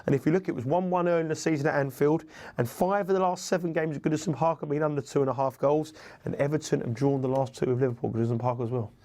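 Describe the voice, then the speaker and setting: A man hosting a sports betting tips show, brisk and reeling off statistics, bunged up with a cold. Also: fairly high pitch, darkish tone. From United Kingdom.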